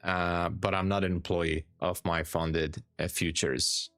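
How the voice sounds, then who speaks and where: Deep-voiced Hungarian-accented man, calm streamer. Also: unhurried, no echo. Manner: calm, easygoing, repeats words for emphasis. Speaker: live-stream trader. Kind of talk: casual live-stream chat, reading viewer comments aloud.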